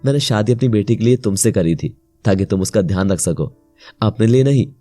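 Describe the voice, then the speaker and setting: An emotional young widowed father played by a man in an animated moral tale. He speaks earnestly in a low, dark voice with light music underneath. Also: normal speed, close to an everyday voice. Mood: angry, regretful. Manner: earnest, melodramatic. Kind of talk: melodramatic animated moral story dialogue.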